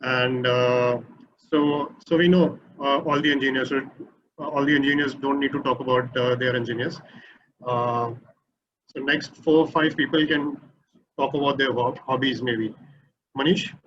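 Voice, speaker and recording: A man moderating an online workshop in a relaxed, conversational way over a video call. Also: mid-range voice, normal speed, slight background noise. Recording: muffled video-call audio.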